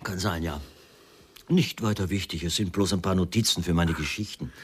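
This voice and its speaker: Man acting evasive writer guy in a radio crime play, low voice, shrugs things off, bit of noise on the recording. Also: normal speed, lightly acted. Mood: evasive, guarded. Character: evasive male writer questioned about his missing wife.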